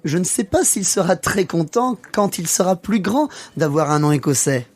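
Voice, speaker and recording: A man's voice: high-pitched, lively intonation, moderate pace. Guy playing friendly french dad in a lesson radio play, jokey and reassuring, lots of melody in the voice. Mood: friendly, joking. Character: friendly joking French accountant, father of three. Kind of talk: scripted learner radio play.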